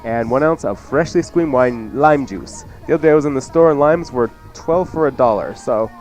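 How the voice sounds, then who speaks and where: A man's voice: medium pitch, expressive, normal speed, darkish tone, soft background music. A man co-hosting a cooking radio show with casual banter and recipe talk.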